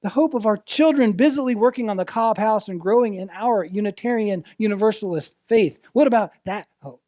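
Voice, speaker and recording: A man with a high-pitched voice preaches in a close, dry sound. His delivery is earnest and deliberate, shaped as a sermon with rhetorical questions and dramatic phrasing. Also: warm tone, dry recording. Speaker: preacher, ministerial intern. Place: church service, speaking to a congregation.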